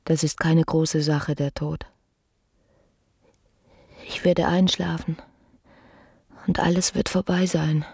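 An actress playing a secretive, despairing married woman in weary suffering, breathy and often whispering, in a radio play. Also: low voice, fairly even intonation, heavily acted. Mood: weary resignation. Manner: halting, hushed. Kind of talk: intimate radio play acting.

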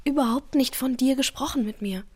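Gentle steadfast young wife, higher pitch, restrained, quiet, radio drama. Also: female voice, brisk, slightly rough. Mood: guarded. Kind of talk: German radio drama acting.

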